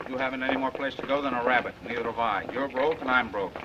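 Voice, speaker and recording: A man's voice: medium pitch, fast, close to an everyday voice. An actor playing a brash, flirtatious, stubbornly protective newspaperman with an American accent, bantering in slangy, persistent 1930s film style. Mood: teasing. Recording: muffled, hissy 1930s film soundtrack.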